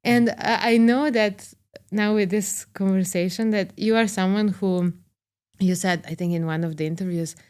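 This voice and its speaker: A woman's voice: medium pitch, steady tone, normal speed, clean tone. A young woman from Serbia hosting a podcast interview, relating personal stories with many "like" fillers. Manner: warm, relating personally, fillers "like" and soft laughs. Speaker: podcast host. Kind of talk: conversational interview.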